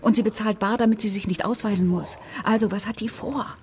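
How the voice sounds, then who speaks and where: An actress playing a suspicious, determined dance school owner and secret lover in a German radio crime drama, speaking in an insistent, conspiratorial way. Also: female voice, medium pitch, steady tone, brisk, a little mellow, a little hiss or hum, natural voice. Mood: worried, suspicious.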